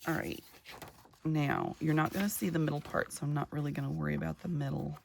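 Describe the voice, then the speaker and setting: A middle-aged woman chatting casually while crafting, her voice a little gravelly from just waking up, rambling warmly from one story to the next. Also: low voice, steady tone, brisk, slight background noise. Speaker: craft vlogger.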